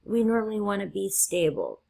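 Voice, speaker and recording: Woman, college instructor, husky gravelly voice, losing her voice, step-by-step lecture. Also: mid-range voice, huge pitch swings, a little fast, warm tone. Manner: explains step by step, checking in with "right?". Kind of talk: classroom lecture.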